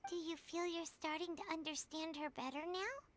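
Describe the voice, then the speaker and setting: A woman's voice: extremely high pitch, steady tone, normal speed, a bit bright, a little hiss or hum. A woman voicing a tiny, curious female fairy companion in a video game, bubbly and talking about herself in the third person. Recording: video game audio with faint music.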